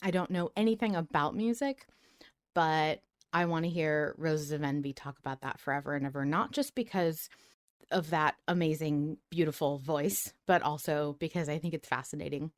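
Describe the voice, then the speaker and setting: A woman with a somewhat low voice hosts a casual podcast. She talks conversationally to listeners and guests. The recording is dry, with very little room sound. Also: normal speed, no echo. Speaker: podcast host.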